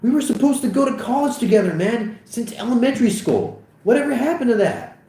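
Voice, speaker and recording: A man's voice: extremely high pitch, big pitch swings, brisk, mellow, pitched above the performer's own voice. A man voicing an aggrieved, whiny teenage boy who accuses his best friend, as part of a script reading. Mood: hurt, accusing. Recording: echoey room.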